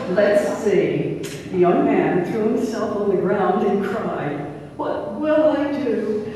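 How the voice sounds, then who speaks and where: A man's voice: a little mellow, muffled recording. A man playing a storyteller who halts and wails as a despairing youth in an amateur radio play. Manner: halting, melodramatic. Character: dramatic male storyteller wailing as a despairing youth. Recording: distant echoey hall, faint rumble.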